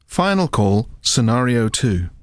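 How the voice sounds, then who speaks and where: A man's voice: medium pitch, big pitch swings, a little slow, a little hiss or hum. A man giving short scripted announcements of exercise scenarios.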